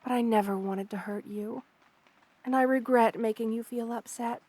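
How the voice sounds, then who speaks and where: A woman voicing a kind, protective spider queen in an ASMR roleplay, speaking intimately and soothingly to the listener with hesitant pauses and formal wording. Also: a little high, fairly even intonation, a little mellow, no room sound, natural voice. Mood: gentle, apologetic.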